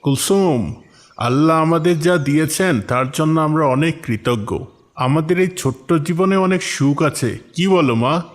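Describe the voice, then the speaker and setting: A man's voice: fairly high pitch, a little slow, close to an everyday voice. Man voicing a patient village farmer father. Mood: content. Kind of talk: cartoon story voice acting.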